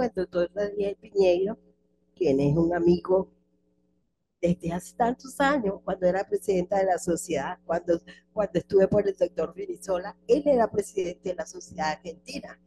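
A woman's voice: fairly low pitch, background noise. A woman moderating a conference session, speaking informally and chattily as she introduces the next speaker. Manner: chatty, informal, with fillers and restarts. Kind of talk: spontaneous introduction.

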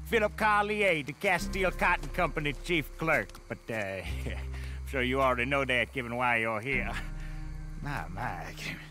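An actor playing a nervous, genteel fugitive clerk with a fussy, giggly manner. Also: male voice, medium pitch, lively intonation, normal speed, sharp tone, soft background music.

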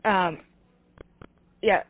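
A woman's voice: drawn-out words, dry recording. A woman handling a customer service call, polite, careful and hesitant, over a phone line. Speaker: puppet theater phone receptionist.

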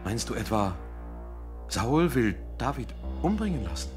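A man's voice: medium pitch, sing-song, unhurried, music in the background. An actor playing an urgent, protective eldest son, a young man in a biblical radio play. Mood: alarmed. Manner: urgent, agitated. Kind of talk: German radio play acting.

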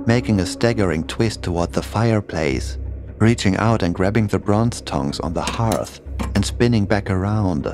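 A man with a fairly low voice narrates a scripted horror story in the first person. He reads as the storyteller, and music plays clearly underneath his voice. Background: music behind the voice.

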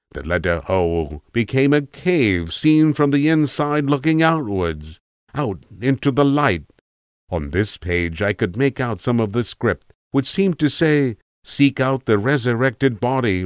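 A man reading scripted audiobook narration in a measured, literary storytelling manner. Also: fairly low pitch, lively intonation, no room sound, clean studio sound. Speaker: narrator, voice actor.

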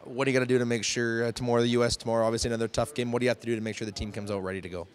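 A man interviewing players and coaches after a game, asking brisk questions. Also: medium pitch, little pitch movement.

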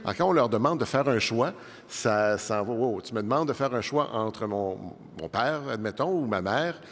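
Animated middle-aged man debating in a hall. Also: mid-range voice. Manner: animated, gesturing, conversational with quick asides. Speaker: debate panelist. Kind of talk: televised panel debate. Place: large studio hall with audience. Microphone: desk microphone. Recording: long reverb of a large hall.

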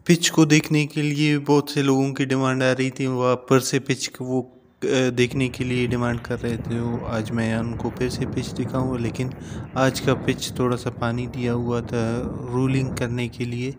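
A man in his thirties, a travel vlogger, walking a cricket ground and talking to a phone camera at arm's length in an unhurried, repetitive way. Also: mid-range voice, a little hiss or hum. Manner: flat, steady and unhurried, with many repeats. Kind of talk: casual walking vlog. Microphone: phone camera held at arm's length.

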